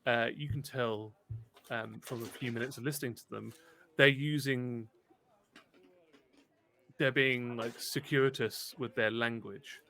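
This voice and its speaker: A man acting as game master, narrating a tabletop game in a halting, conversational way. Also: medium pitch, fairly even intonation, pushed and bright, slight background noise.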